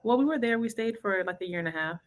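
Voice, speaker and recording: A woman with a Cajun accent in her thirties, chatting softly as co-host of a home livestream. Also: medium pitch, animated, fast. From Lafayette, Louisiana. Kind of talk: casual chat.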